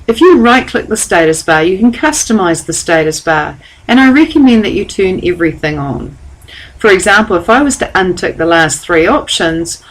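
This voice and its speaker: A woman giving a calm, step-by-step software tutorial over a screen recording. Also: lowish voice, poor audio. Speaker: software trainer.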